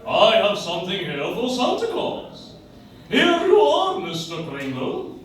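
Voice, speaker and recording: Man as swooping, high-voiced blustery store boss. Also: sing-song, a little slow. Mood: jovial, blustery. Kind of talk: live stage radio play acting. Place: coffeehouse stage, picked up from the audience. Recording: echoey faintly noisy room, distant microphone.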